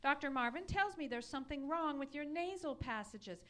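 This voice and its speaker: Woman acting needling scatterbrained wife, high bright voice, very animated, plaintive, live radio comedy. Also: moderate pace. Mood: insistent needling. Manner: plaintive, insistent, obliviously literal. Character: nagging, needling, scatterbrained wife quarrelling at night. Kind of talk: live old-time radio comedy acting before an audience. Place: live theatre stage.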